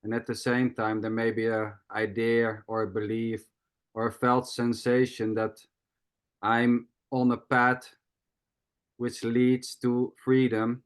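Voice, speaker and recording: A man hosts an online group call and talks calmly about nondual teaching. His voice sits in the middle range and is plain. He explains ideas slowly, restating them in several ways. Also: medium pitch. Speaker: host. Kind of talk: spiritual teaching talk. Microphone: video call.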